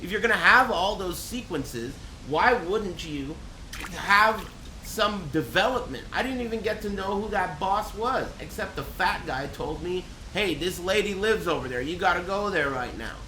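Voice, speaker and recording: A man with a high, bright voice streams a video game in a roomy, echoey space. He rants casually and bluntly, with plenty of swearing and exasperated sarcasm. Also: expressive, normal speed. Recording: roomy echo, faint background noise.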